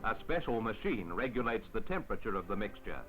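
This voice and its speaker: A man narrating a 1950s newsreel in a formal, measured announcer style on an old film soundtrack. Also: on the low side, big pitch swings, a bit bright. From Australia. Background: music.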